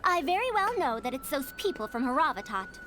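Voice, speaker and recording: Youthful-sounding elder academic for a game: very high voice, pompous, indignant, swooping delivery over music. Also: female voice, sing-song, brisk, noisy background. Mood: haughty indignation. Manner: pompous, formal, lecturing. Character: youthful-sounding female elder scholar, proud, indignant and evasive. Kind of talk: video game voice acting.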